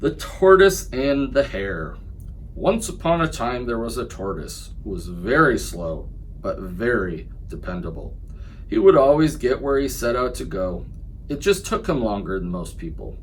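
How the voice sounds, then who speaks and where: A teacher reading a silly picture book to children. His pitch swings widely and playfully as he narrates. A faint hiss of background noise sits behind him. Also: a little slow, poor audio. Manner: lively, playful expressive narration. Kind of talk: children's story read-aloud.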